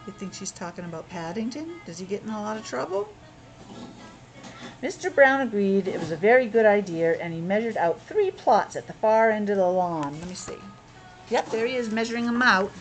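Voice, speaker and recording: A woman's voice: medium pitch, expressive, moderate pace, soft background music, rough recording. A woman reading a picture book aloud to young children as a teacher, breaking off for chatty asides, explanations and questions. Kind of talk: read-aloud storytelling with casual asides.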